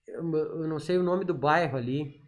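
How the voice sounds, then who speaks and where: Southern Brazilian man, halting explainer. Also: fairly high pitch, soft background music. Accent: southern Brazilian, Rio Grande do Sul. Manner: halting, repeats words while recalling. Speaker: podcast guest. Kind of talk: informal explanation in a podcast interview.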